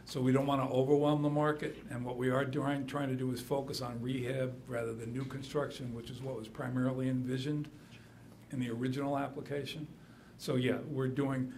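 A man presenting to a city council from a podium microphone, measured and full of ums, with small self-deprecating asides. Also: mid-range voice, darkish tone, a little hiss or hum. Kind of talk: formal public meeting remarks. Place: council chamber, standing at podium.

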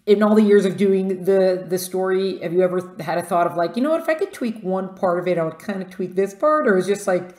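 A woman's voice: mid-range voice, brisk, hardly any pauses, darkish tone. Woman interviewer in an echoey room. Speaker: podcast host interviewer. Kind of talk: casual interview chat. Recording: roomy, echoing sound.

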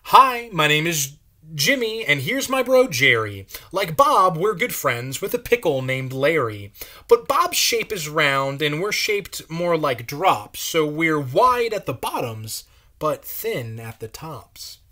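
A friendly, chummy gourd guy from a picture book, voiced by a man. His voice is animated and raised, rolling through storybook rhymes. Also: fairly high pitch, slow pace, heavily acted. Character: Jimmy, friendly round-bottomed male gourd.

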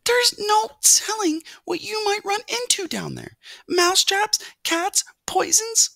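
A woman's voice: extremely high pitch, very animated, slow, gravelly, sharp tone, no echo, pitched above the performer's own voice, heavily acted. A woman voicing an anxious, scolding mother mouse in a children's storybook, fussing and pleading.

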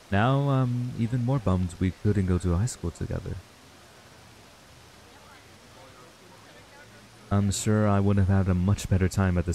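A man's voice: low voice, mellow, poor audio. A man playing a laid-back, wistful young man in an ASMR roleplay, talking casually and hesitantly to a childhood friend. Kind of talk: ASMR roleplay acting, intimate and conversational.

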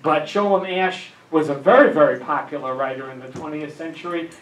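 Older male lecturer, higher voice, halting delivery with many fillers, heard in an echoey hall from a distant camera. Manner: many filler uhs, dry asides. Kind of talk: academic lecture. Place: dim lecture room with projector. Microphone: handheld mic. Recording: echoey room, camera far off.

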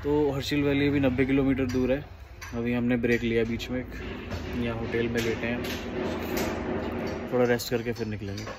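A man's voice: mid-range voice, little pitch movement, a little fast, pauses often, heavy background noise. A young man, a travel vlogger, chatting casually to his phone camera held at arm's length, outdoors by a river and later in a car and a restaurant. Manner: chatty, talks straight to the camera while traveling. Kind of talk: casual travel vlog narration.

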